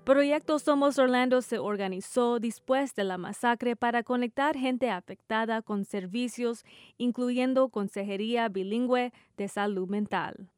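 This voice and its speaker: A woman's voice: a little high, measured pace, clean tone, dry recording. A woman from Texas with a Tex-Mex accent, hosting a public radio interview in careful, scripted Spanish. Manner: scripted, careful, apologetic about her Spanish. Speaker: radio host. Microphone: studio microphone.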